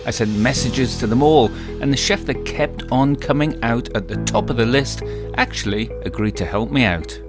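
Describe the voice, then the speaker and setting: A man presenting a food travel documentary, narrating in a calm, measured way. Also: mid-range voice. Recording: narration over guitar music.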